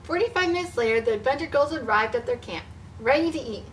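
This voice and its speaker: A woman leading a storytime for preschoolers, expressive, explaining things in simple words for young children. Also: sits high, quick pace. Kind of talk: picture-book read-aloud and craft talk for preschoolers. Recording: distant mic with faint background noise.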